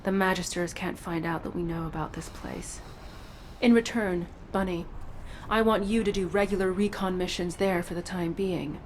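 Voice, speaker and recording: A woman's voice: lowish voice, fairly even intonation, moderate pace, a little hiss or hum, close to an everyday voice. A woman playing a stern, secretive sci-fi intelligence operative in a video game, controlled, dry and coolly menacing. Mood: resigned, firm.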